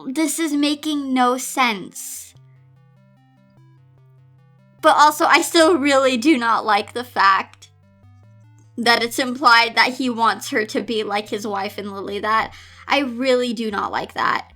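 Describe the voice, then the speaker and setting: Woman, very bright high voice, casual read-along host, exasperated asides, sarcastic, light background music. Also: fairly even intonation, slow pace, pauses often. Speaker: host reading fan fiction aloud. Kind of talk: casual reading with exasperated running commentary.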